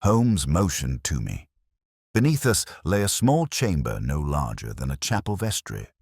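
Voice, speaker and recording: A man with a very deep, husky voice narrating a scripted story in a dramatic, literary manner. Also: sing-song, a little slow, a little mellow, dry recording, studio quality.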